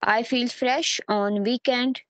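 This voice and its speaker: Hesitant Pakistani woman, slow and slightly high. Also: steady tone, fairly bright tone, no echo. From Lahore, Pakistan. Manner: hesitant, simple beginner English. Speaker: English learner, test candidate. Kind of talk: answering practice test questions. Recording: dull, dry online call audio.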